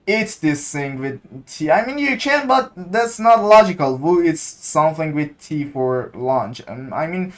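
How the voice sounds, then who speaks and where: Slow-talking Iranian man, hesitant. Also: on the high side. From Iran. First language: Persian. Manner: hesitant, searching for English words. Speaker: gaming content creator. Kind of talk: casual gameplay commentary.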